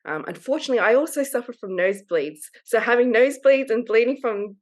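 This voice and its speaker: A woman's voice: on the high side, animated, fast. A woman in her thirties with an Australian accent, speaking candidly and openly as an interview guest. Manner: candid, open, laughs easily. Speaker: guest author. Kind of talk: casual interview conversation.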